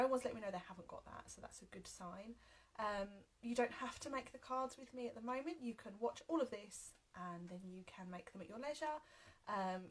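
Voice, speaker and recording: A woman's voice: medium pitch, a bit bright, slightly rough. Chatty woman with a heavy cold. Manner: chatty and upbeat, frequent "um" fillers. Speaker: craft demonstrator. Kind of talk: live card-making class. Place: home craft room with shelves and windows. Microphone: webcam at desk, then overhead camera.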